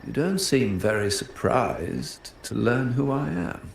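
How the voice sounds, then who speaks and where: An actor playing a suave, philosophical gentleman in a video game, speaking in measured, poetic phrases. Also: mid-range voice, big pitch swings, exaggerated voice. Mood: suave, teasing. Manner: measured, poetic, smooth-mannered. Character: suave, philosophical gentleman with veiled protective intent. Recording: roomy echo, faint background noise.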